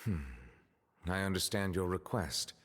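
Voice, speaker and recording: An actor playing a dignified, cautious male high official in a video game, speaking very formally, courteously and deliberately. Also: steady tone, fairly bright tone, long reverb tail. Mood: grave, sceptical. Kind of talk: dramatic video game dialogue.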